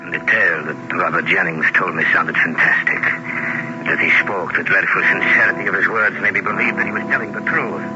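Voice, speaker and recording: An actor playing a serious, probing doctor with a measured, formal manner in an old-time radio horror drama. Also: male voice, deep voice, steady tone, over loud music, close to an everyday voice. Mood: grave, intrigued. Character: grave, probing doctor hearing a troubled patient's tale. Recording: dull old radio recording.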